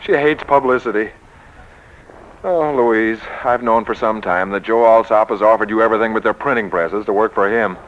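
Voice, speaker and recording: A man's voice: fairly low pitch, moderate pace, close to an everyday voice. An actor playing an exasperated, blustering male boss of a publishing house in a 1940s radio comedy. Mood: grumbling, worried. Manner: blustery, grumbling. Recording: dull, lo-fi 1940s radio recording.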